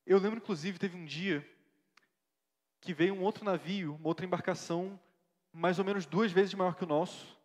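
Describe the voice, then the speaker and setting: A man's voice: high-pitched, normal speed, darkish tone. A man playing an earnest young missionary pastor. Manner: earnest, reflective storytelling with frequent tag questions. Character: earnest young male missionary pastor recounting his life. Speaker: interviewee in a church play. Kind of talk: staged interview, church theatre. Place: church hall. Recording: reverberant church hall.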